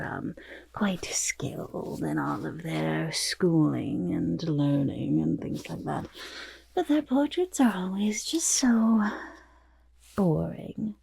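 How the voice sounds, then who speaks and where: A woman playing a vain, fussy goblin portrait artist in an ASMR roleplay, with a raspy half-whisper and pompous, flattering, hesitant talk. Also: lowish voice, full character voice. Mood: smug boasting. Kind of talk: ASMR roleplay, soft personal attention.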